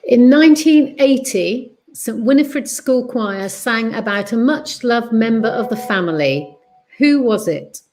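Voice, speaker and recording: A woman hosts a homely online quiz, her voice sitting a little high. She reads each question deliberately, repeats it, then drifts into easy chat. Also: lively intonation, darkish tone, faint music behind. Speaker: quiz host. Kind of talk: casual online quiz reading.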